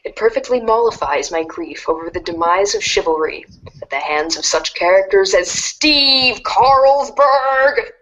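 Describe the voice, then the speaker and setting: A woman's voice: medium pitch, lively intonation, measured pace, fairly bright tone, low-quality recording. A woman playing a wry, haughty teenage girl who speaks with ornate, dry irony in a storybook fan dub. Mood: dry, ironic.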